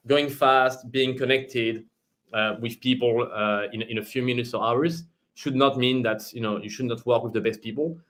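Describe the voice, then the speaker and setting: A man, a company managing director, explaining how his product works in a webinar, in a pitching way. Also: fairly even intonation, moderate pace, fairly bright tone.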